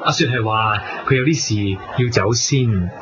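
A man acting a possessive, smooth-talking young businessman who politely needles others in a Cantonese radio drama, with a Hong Kong accent. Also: medium pitch, normal speed, natural voice. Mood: pressing. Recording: muffled radio recording, noisy crowded venue ambience.

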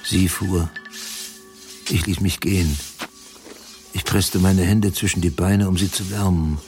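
A radio drama monologue over clear music. a troubled, wounded man with a deep, fry-laden voice. He tells things in short, broken fragments. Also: fairly even intonation, unhurried, darkish tone. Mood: vulnerable. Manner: terse, fragmentary first-person narration. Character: troubled man Clermont, humiliated and grieving, recounting events. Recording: music under the voice.

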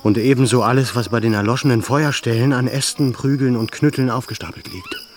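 An actor playing a resolute, commanding leader of a besieged mountain camp in a German radio play, speaking deliberately. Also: male voice, medium pitch, wildly swooping pitch. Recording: clear cricket noise behind.

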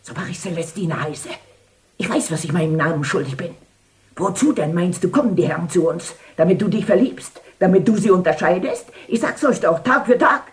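An actress playing an imperious older brothel madam in a radio drama, haughty, mocking and declamatory. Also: female voice, lowish voice, animated, brisk, natural voice. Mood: mocking, indignant. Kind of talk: theatrical German radio drama acting.

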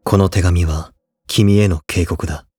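A solemn high school honor student with a low voice. He speaks gravely and formally, as someone tormented within, in dramatic audio drama style with music clearly behind him. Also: fairly even intonation, unhurried, a little mellow, no echo, clean studio sound, heavily acted. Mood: tormented, dark.

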